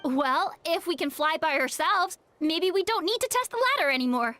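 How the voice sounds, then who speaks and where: A woman's voice: extremely high pitch, lively intonation, moderate pace, pushed and bright, slight background noise, exaggerated voice. A woman playing an argumentative, persuasive young tribe member in a video game. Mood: challenging.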